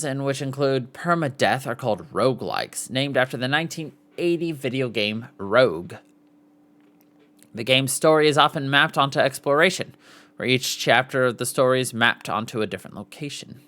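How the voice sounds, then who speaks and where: A podcast host reads written material aloud in a mid-range voice. The pitch moves around a lot from phrase to phrase. The delivery is formal, like an article, with occasional stumbles over words. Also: a bit bright. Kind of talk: reading an article aloud with brief asides.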